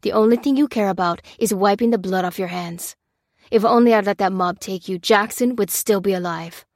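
A woman's voice: mid-range voice, brisk, vocal fry, a little mellow, no room sound, studio quality, lightly acted. Woman voicing a furious growling male soldier. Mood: shouting accusations. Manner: growling, ranting, hurling blame. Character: bitter hardened male soldier, furious and accusing. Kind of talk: audiobook character reading.

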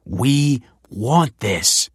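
A man's voice: mid-range voice, expressive, extremely slow, slightly rough, dry recording, clean studio sound, pitched above the performer's own voice, full character voice. A man voicing a frustrated husband in his late thirties who protests angrily. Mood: protesting. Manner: protesting, angry.

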